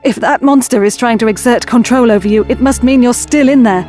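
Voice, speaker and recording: A woman's voice: on the high side, brisk, warm tone, soft background music, natural voice. A woman playing an anxious, blunt, determined young woman in an audio drama. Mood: urgent, determined. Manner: urgent, questioning, wry.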